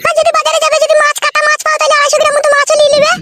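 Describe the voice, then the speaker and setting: A performer voicing an affectionate but blunt village wife with a squeaky voice in a comedy cartoon. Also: completely flat delivery, racing, background noise. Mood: plain, homely.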